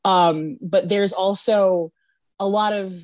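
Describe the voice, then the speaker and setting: A woman guest who reads tarot, talking frankly over a video call, laughing often and filling pauses with "um" and "like". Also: mid-range voice, steady tone, a little mellow, no room sound. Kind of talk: casual chat.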